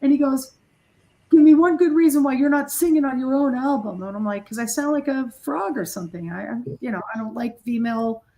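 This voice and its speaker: Candid woman with a higher-pitched voice. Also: expressive, a little fast, dark tone, low-quality recording. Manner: candid, self-deprecating, blunt. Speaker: heavy metal guitarist, singer and songwriter being interviewed. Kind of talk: casual interview chat, anecdotal storytelling.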